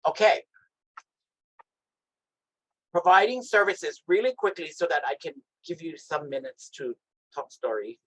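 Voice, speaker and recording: Man with a high, lively voice. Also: unhurried, long pauses, low-quality recording. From Hawaii. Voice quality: naturally high voice. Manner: lively, emphatic, asks "right?" often. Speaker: health equity trainer. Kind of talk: workshop presentation. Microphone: video call.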